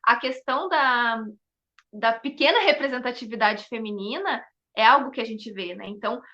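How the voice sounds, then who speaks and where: Bright, high-voiced woman in reflective interview. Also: muffled recording. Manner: halting, thinking aloud, frequent checking tags. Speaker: theatre company member, interview guest.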